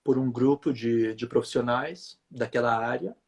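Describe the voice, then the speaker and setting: A man's voice: medium pitch, normal speed, darkish tone, breathy edge. A man from Brazil, a physiotherapist, giving long explanatory interview answers, often hesitating and repeating words. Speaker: physiotherapist guest.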